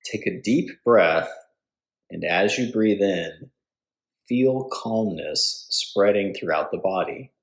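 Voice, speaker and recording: Slow, low-voiced man guiding meditation. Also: low-quality recording. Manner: calm, deliberate, instructional phrasing with pauses. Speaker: yoga nidra instructor. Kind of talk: guided meditation.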